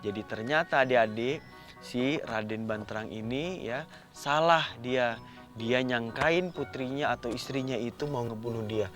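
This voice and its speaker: A man's voice: mid-range voice, normal speed, a bit bright, soft background music. A man telling a story to children in a colloquial, exclamatory way, addressing his young listeners directly. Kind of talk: animated oral storytelling for children.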